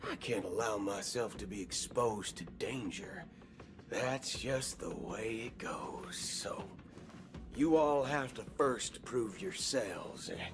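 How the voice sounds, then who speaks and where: An actor playing a swaggering, wry cowboy gunslinger in a video game, talking in folksy slang. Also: male voice, mid-range voice, animated. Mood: sly. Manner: folksy slang, slow drawling delivery. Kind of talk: video game cutscene acting. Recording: game audio with background music.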